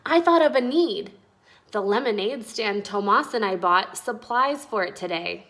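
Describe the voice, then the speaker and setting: A woman voicing an eager, earnest young schoolgirl in a storybook, excitable and quick to chime in. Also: mid-range voice. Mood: eager, excited.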